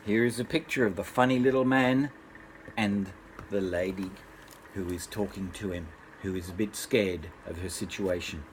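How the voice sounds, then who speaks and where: An older man, a grandfather, narrating a children's bedtime story in an unhurried, expressive way. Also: deep voice, a little hiss or hum, low-quality recording.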